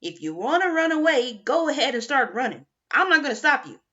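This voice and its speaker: A gruff, domineering camp boss in a cowboy hat, played by a woman reading a storybook, giving blunt orders. The delivery is punchy and swings widely in pitch, and the home recording sounds dull. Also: very animated, a little fast, bright, forceful tone, exaggerated voice. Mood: taunting. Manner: commanding, blunt.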